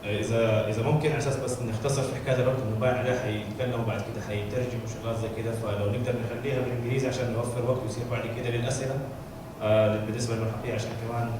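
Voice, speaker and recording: A man makes a brisk, practical announcement as an organizer, talking quickly and restarting his sentences. He sounds far away in a very reverberant room with a low rumble underneath. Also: fairly even intonation. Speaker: event organizer. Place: large echoey meeting room. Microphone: distant room mic. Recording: echoey distant room recording with noise.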